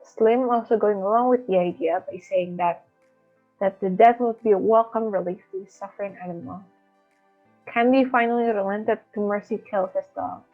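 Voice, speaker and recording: A woman's voice: medium pitch, normal speed. A young adult woman from Indonesia reading a prepared book summary aloud as a student. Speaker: student reader. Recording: thin phone-like audio with background music. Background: music.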